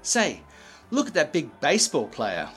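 An actor playing a friendly, charming gentleman in a radio drama, genial and polite. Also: a little high, wildly swooping pitch, unhurried, soft background music, exaggerated voice. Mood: playful. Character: friendly, charming gentleman neighbour courting a mother. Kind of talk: old-time radio drama acting.

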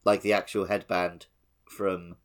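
A man's voice: sits low, flat delivery, measured pace, clear, resonant tone. A man co-hosting a casual gaming podcast, laid-back, with a dry teasing sense of humor. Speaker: podcast co-host.

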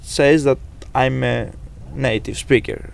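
A man with a Greek accent, chatting casually and thinking aloud, with many pauses and fillers. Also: mid-range voice, creaky. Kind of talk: casual chat.

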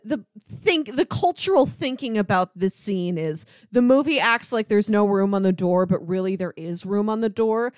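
A woman's voice: mid-range voice, no room sound, clean studio sound. A woman talking casually as a podcast co-host about film, explaining earnestly and peppering her talk with "like".

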